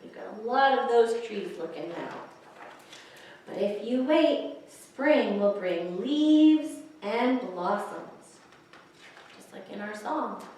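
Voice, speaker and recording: Lady doing kids story time, kinda high voice, asks little questions, far from mic with faint noise. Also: lively intonation, slow, darkish tone. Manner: explains pictures, asks young listeners questions. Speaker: children's librarian, story time host. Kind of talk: reading picture books aloud to young children, with asides. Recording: echoey, distant microphone, faint hiss.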